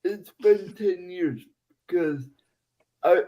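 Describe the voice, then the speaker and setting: A man in his twenties, a podcast guest, making blunt confessions in halting bits. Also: high-pitched, lo-fi sound. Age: 20s.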